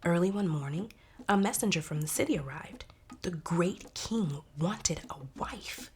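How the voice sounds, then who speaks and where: Expressive woman narrator, slightly low voice. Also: measured pace, slight background noise. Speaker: storybook narrator. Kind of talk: scripted children's story narration.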